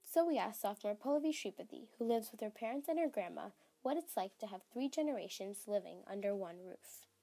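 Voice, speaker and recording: A teenage girl reporter giving a measured voice-over narration for a news story. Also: mid-range voice, lively intonation, vocal fry, warm tone. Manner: measured voice-over reading statistics. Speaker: student reporter. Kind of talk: scripted narration.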